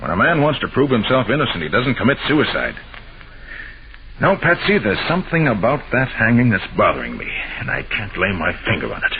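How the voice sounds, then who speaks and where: An actor playing a decisive, analytical detective in an old-time radio drama, with a commanding, deliberate delivery. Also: male voice, mid-range voice, huge pitch swings. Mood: musing, intent. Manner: commanding, deliberate, clipped instructions. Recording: noisy, muffled vintage radio recording.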